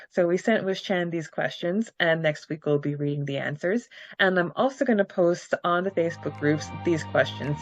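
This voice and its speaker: Woman, podcast co-host, low voice, measured, gracious, slightly muffled recording. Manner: measured and gracious, announcing segments. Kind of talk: casual podcast chat.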